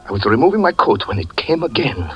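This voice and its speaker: An actor playing a haunted male cabaret performer who narrates his lost love in 1940s radio style, intense and dramatic, dropping at times to hushed whispers. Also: medium pitch, very animated, quick pace, breathy edge, dull audio, soft background music. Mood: brooding.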